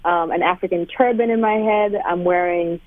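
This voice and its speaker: A woman's voice: lowish voice, fairly quick. Woman on a tinny phone line. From Malawi. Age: 30s. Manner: animated storyteller, laughs often. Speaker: guest author. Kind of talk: radio interview.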